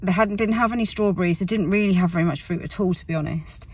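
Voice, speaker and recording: British female vlogger, 40s, lower husky voice, casual and meandering, recorded slightly off-mic in a car. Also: quick pace, darkish tone, distant mic. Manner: rambling, word-searching, self-correcting. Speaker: family vlogger. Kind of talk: casual chat to camera. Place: inside a parked car.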